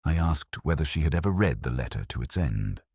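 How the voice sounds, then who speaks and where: A man narrating a literary mystery in the first person, in a measured, melancholy and solemn way. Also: a bass voice, animated, darkish tone, breathy edge, no echo, clean studio sound.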